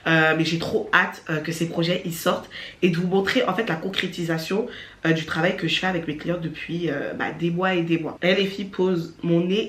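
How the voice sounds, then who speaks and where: A young woman vlogging at home, chatty and animated, with a stuffy nose from a cold. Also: lowish voice. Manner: chatty and animated, laughing often. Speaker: vlogger and beauty entrepreneur. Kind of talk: casual vlog talking to camera. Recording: echoey room, voice from far off.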